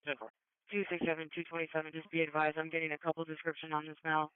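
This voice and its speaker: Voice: mid-range voice, monotone, rapid-fire, smooth, no echo. An American emergency dispatcher reading out calls in terse phrases over a two-way radio. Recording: narrow phone-like police scanner radio feed.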